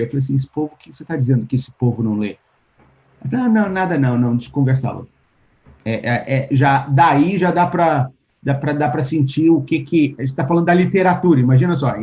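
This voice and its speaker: Brazilian man, dark lo-fi call voice. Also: on the high side. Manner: thoughtful, restarts often, acts out quoted exchanges. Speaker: interview guest, writer. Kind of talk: casual interview talk. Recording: dull lo-fi call audio.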